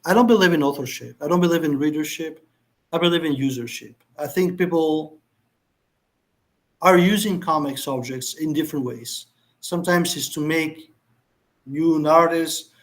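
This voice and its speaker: Guy on a video call, kinda gravelly, slightly high voice, keeps restarting his sentences. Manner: thoughtful, halting, many restarts. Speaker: guest speaker, conceptual artist. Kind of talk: casual lecture Q&A over video call.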